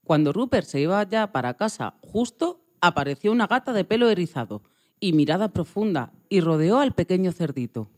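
A narrator telling a scripted children's story with expressive delivery. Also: mid-range voice, a little fast.

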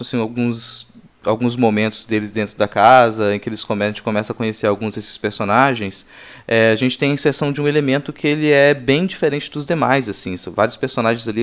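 A Brazilian man on a podcast panel talking casually and analytically about film, in halting explanations. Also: medium pitch, smooth.

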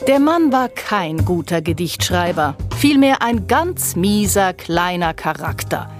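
A woman giving a measured, scornful reading of literary quotations for radio. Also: fairly low pitch, big pitch swings, music in the background. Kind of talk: dramatic literary recitation.

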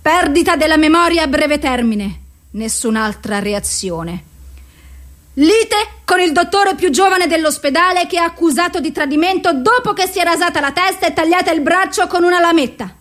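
A high voiced clinical woman reading out a psych case file, clipped lists, radio play. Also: expressive, moderate pace, a bit bright. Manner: clipped, list-like recital of symptoms and doses. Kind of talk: theatrical radio drama monologue.

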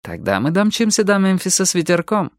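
A woman's voice: on the low side, big pitch swings, fairly quick, no echo, clean studio sound, pitched above the performer's own voice. A woman voicing a self-assured young man in an audiobook, nonchalant and slyly condescending. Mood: smug. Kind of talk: audiobook character voice.

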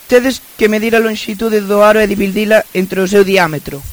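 Living number for children's radio play, played by a male: high-pitched, polite, loudly calling for rescue. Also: little pitch movement, normal speed, close to an everyday voice. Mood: helpful, calling. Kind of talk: amateur school radio play acting.

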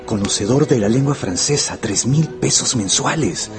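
An actor voicing a formal newspaper job notice in a radio drama, with measured, clipped, list-like phrasing. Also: male voice, mid-range voice, expressive, close to an everyday voice. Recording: prominent music under the voice. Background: music.

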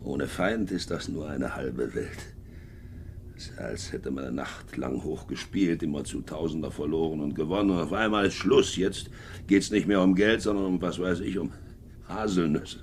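An actor playing a hardened, domineering middle-aged sea captain and war veteran in a German radio drama, brooding and clipped. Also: male voice, moderate pace. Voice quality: gravelly, creaky low voice. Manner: brooding, clipped, swinging from hearty to menacing murmur. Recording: noisy 1950s radio recording.

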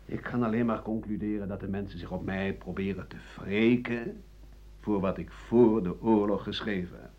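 An actor playing an embittered older French doctor and writer who defends himself against treason charges in an aggrieved, emphatic radio play monologue. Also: male voice, on the low side, fairly even intonation, normal speed, close to an everyday voice. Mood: indignant. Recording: dull 1980 radio drama recording.

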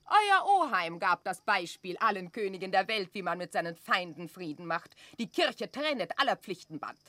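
A sharp-voiced, imperious queen. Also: medium pitch, no echo. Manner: imperious, measured, declamatory. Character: haughty, cold, suspicious reigning queen. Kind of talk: classical German stage drama radio play.

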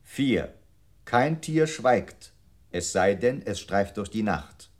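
Animated, declamatory reciting computer unit. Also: male voice, on the low side, slow pace. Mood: logical, emphatic. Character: male computer memory unit, earnestly reciting processed verse. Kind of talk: formal recitation, 1960s German radio drama.

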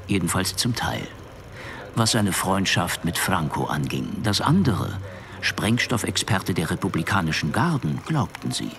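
A man narrating a scripted audio drama, dry and deliberate, with dramatic pauses. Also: deep voice.

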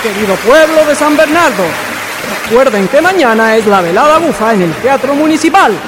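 A man's voice: very high-pitched, animated, normal speed, a loud crowd, heavy background noise. A man playing a grandiose festival announcer, pompous and declamatory, in a radio drama. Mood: grand, festive. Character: grandiose town festival announcer addressing the people. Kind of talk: radio drama acting, proclamation. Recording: music under the voice.

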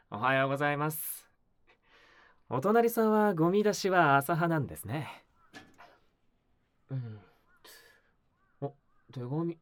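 A woman voicing a polite, mild-mannered young male neighbour in an audio drama, speaking softly and conversationally to the listener. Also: deep voice, full character voice. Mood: sleepy, musing. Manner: polite, soft-spoken, conversational. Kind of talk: Japanese audio drama acting, spoken to the listener.

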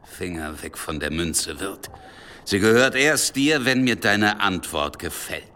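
An actor playing a curt, coarse, domineering leader of medieval riders in a radio drama. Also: male voice, low-pitched, expressive, a little slow, pushed and bright, slight background noise, full character voice. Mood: cool, domineering. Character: gruff, commanding medieval leader of armed riders, menacing.

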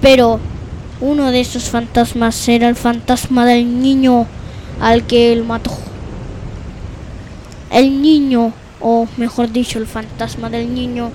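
A female student improvising a spoken horror story as narration, halting as the phrases get repeated and corrected, with loud music under the voice. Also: fairly high pitch, little pitch movement, slight background noise, rough recording. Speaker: student storyteller in a radio theatre workshop.

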